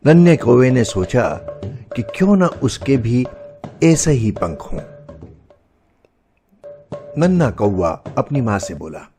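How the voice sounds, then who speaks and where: A man narrating a scripted children's story as storyteller, expressive and didactic. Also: mid-range voice, takes it slowly, long pauses, faint music behind.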